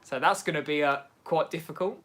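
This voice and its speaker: A man with an English Midlands accent hosting a casual livestream from home, chatting excitably and laughing often as he answers viewers. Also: fairly high pitch, fairly quick. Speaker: livestream host. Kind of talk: casual livestream chat reading viewer comments.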